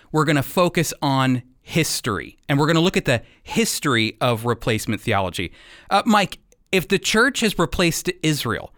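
A man's voice: on the high side, fairly bright tone, dry recording, studio quality. A man hosting a radio teaching program, presenting and interviewing.

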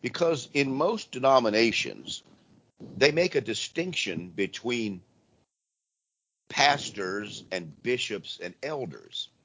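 A hoarse man with laryngitis hosts a live call-in radio show, talking in a casual, rambling way with frequent restarts. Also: mid-range voice, lively intonation, slow pace, fairly bright tone. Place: church. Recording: dull lo-fi radio audio with faint noise.

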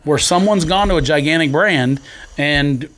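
Man, fairly high voice, podcast co-host, contrarian, insistent, casual back-and-forth debate. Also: normal speed, fairly bright tone. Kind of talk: casual sneaker-culture debate.

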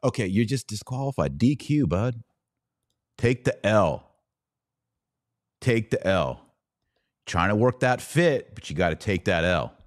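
A man's voice: on the low side, sing-song, measured pace, long pauses, no echo, clean studio sound. An American man giving opinionated solo podcast commentary, sarcastic and emphatic, repeating phrases for effect.

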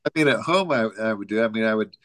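A man's voice: fairly low pitch, expressive, quick pace, a loud crowd. A man co-hosting a casual talk show, telling an anecdote in a rambling way with lots of "you know" and frequent chuckles. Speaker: podcast co-host.

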